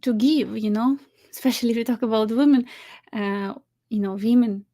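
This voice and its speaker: Ukrainian woman matchmaker just chatting away, lots of "you know" and little laughs, bit high voice. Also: moderate pace, mellow. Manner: chatty, laughs often, many fillers and restarts. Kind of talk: casual chat.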